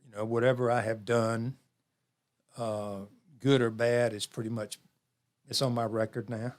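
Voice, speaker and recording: A man in his seventies talking reflectively as an interview guest, measured, with pauses and phrases repeated for emphasis. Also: mid-range voice, little pitch movement. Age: 70s. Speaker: interview guest, community program leader.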